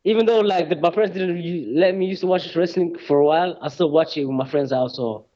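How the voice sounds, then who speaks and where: A man's voice: on the high side, fairly quick, warm tone. A young man, a guest chatting casually and rambling with lots of fillers. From England. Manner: rambling, hesitant, many fillers and restarts. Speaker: guest, wrestler. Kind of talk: casual interview chat. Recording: dull lo-fi sound.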